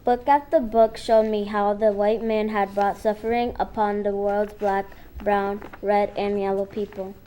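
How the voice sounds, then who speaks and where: A woman's voice: medium pitch, flat delivery, unhurried, warm tone, a little hiss or hum. A young teenage girl, a middle school student, reading dramatically from a text. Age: young teen, middle school student. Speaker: student reader.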